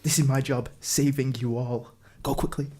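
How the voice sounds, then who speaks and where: A woman plays a timid, selfless rabbit in a fantasy radio play. The voice is low and urgent, with faint background noise. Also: level tone, moderate pace, a little mellow. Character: timid, self-sacrificing rabbit, slow and loyal. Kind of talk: amateur fantasy radio drama acting. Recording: noisy ambience behind the voice.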